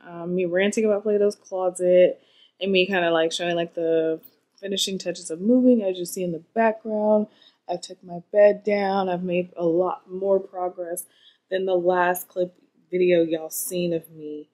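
A woman's voice: on the low side, darkish tone, no echo. A woman in her late twenties with an American accent, chatting informally and expressively to her viewers as a vlogger. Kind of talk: casual vlog chat to viewers. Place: small furnished room, later parked car.

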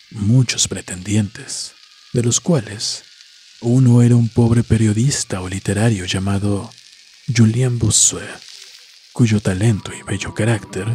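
A man reading a horror story as a narrator, solemn and measured, with dramatic pauses. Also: fairly low pitch, warm tone. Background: background music.

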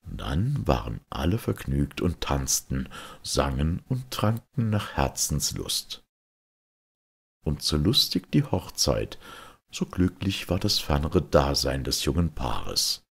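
A man with a raspy, gravelly voice reading a fairy tale as a scripted narration in a deliberate, expressive manner. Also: very deep voice. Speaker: storyteller.

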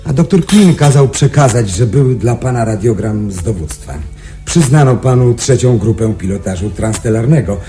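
An actor playing a polite, soothing asylum orderly in a radio play. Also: male voice, medium pitch, animated, moderate pace, dark tone, soft background music, natural voice. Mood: courteous. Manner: polite, placating, service-like. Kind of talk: Polish radio play acting.

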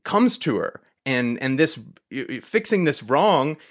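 Male podcast talker, medium pitch, conversational film chat, expressive storytelling with acted-out reactions. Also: unhurried. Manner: animated storyteller, acts out his reactions as quotes. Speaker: podcast guest or co-host. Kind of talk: casual film discussion on a podcast.

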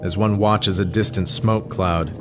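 Low-voiced, uneasy male storyteller. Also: steady tone. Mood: grave, uneasy. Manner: measured, literary storytelling. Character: earnest, uneasy townsman telling his ordeal. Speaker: narrator and lead actor. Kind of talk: audio drama narration. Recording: music behind the voice.

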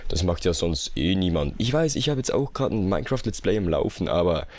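A young man with a low-pitched voice and a Swiss German accent. He comments as a YouTube gamer in loose, slangy speech, sarcastic and poking fun at himself. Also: sing-song, fairly quick, a little mellow, a little hiss or hum. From Switzerland. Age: young adult. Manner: slangy, sarcastic, self-mocking. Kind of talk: casual mocking reaction commentary.

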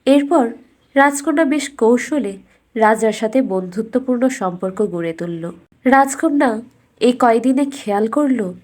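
High-voiced woman narrating fairy tales. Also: darkish tone. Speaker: storyteller. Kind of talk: scripted fairy tale narration.

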